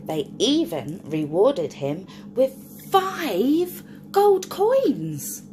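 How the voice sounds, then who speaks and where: A woman's voice: fairly high pitch, sing-song, very slow, darkish tone, slight background noise. A woman reading a picture book aloud to young children in a sing-song storytime voice, often stopping to ask the listener questions.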